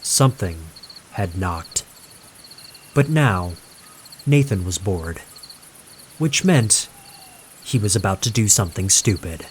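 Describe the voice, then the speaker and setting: A man reading a scripted horror story as narrator, dramatic and suspenseful, with deliberate pauses. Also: low voice, lively intonation, a little hiss or hum.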